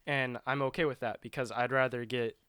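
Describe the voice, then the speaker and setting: Clear, dry-miked man chatting casually. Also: medium pitch, steady tone, brisk, a bit bright, no echo. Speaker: video game show co-host. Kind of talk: casual chat about video games.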